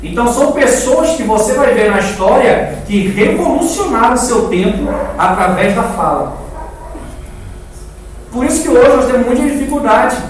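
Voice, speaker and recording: The sound is very echoey and distant, with faint noise. A high-voiced Brazilian man teaches a church class in an informal, didactic way. He repeats words for emphasis and keeps turning to his listeners with questions. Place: echoing indoor room, live class.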